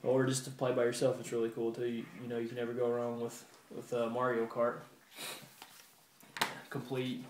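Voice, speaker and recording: A man giving a casual, halting and rambling show-and-tell update to his viewers, like a hobby video game vlogger. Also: mid-range voice, darkish tone. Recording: roomy echo with background hiss.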